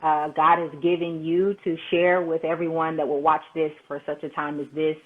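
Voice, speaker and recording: A woman's voice: deep voice, level tone, moderate pace. A woman hosting a casual video chat, effusive in her thanks. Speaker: host. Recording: tinny phone-like line.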